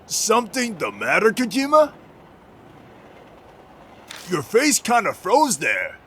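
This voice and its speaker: Excitable, superstitious teen boy sidekick , played by a man, high voice with wildly swinging intonation, slangy horror game dub. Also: slow pace, a little hiss or hum, full character voice. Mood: concerned. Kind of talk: visual novel fan dub acting.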